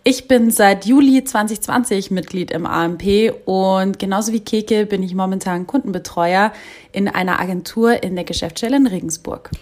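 A woman's voice: mid-range voice, moderate pace, a little mellow. A woman in her twenties from Munich, co-hosting a podcast in an upbeat way. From Munich, Germany. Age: 20s. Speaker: podcast co-host.

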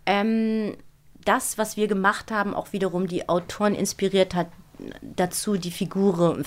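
A woman's voice: mid-range voice, fairly even intonation, moderate pace. Middle-aged woman chatting as interview guest. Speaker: guest, voice actress. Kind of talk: casual interview chat. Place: podcast studio.

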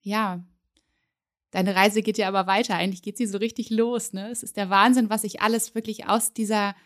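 A woman's voice at a middle pitch, hosting a podcast interview. She asks long, gently leading questions and answers with encouraging remarks. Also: fairly even intonation, a little fast. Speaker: podcast host.

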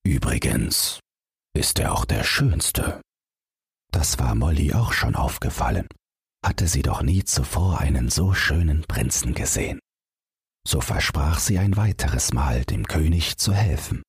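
A man with a husky, gravelly voice reading a bedtime fairy tale as an audiobook narrator in a measured storytelling way. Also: rumbling low, animated, dry recording, studio quality.

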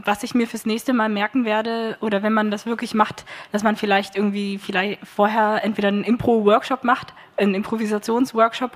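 A woman speaking on a festival stage panel about her radio play, hesitant with many fillers. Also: medium pitch. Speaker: workshop participant, radio play author. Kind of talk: panel discussion.

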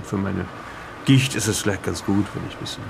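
A man's voice: low voice, a little fast. A man playing a chatty, nosy aging fisherman in an improvised audio drama, rambling and repeating himself. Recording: clearly noisy background, vehicle-like ambience.

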